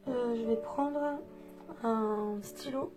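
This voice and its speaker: French woman, congested with a cold, hesitant and chatty tutorial voice, clear guitar music underneath. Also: on the high side, extremely slow. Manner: chatty, hesitant, frequent fillers and restarts. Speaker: coloring tutorial artist. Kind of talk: relaxed step-by-step drawing tutorial. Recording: with guitar music playing behind.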